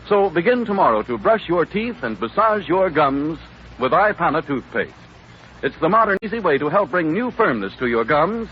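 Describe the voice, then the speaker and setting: An American man announcing on 1930s radio, delivering scripted lines and advertising copy in a radio studio. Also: a little high. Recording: muffled, hissy 1930s radio broadcast.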